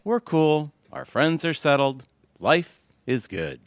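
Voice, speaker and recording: An easygoing, self-satisfied suburban husband, played by a man in a radio comedy. His mid-pitched voice moves around a lot, recorded close and dry. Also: big pitch swings, slow, no echo, full character voice. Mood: breezy. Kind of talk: audio comedy acting.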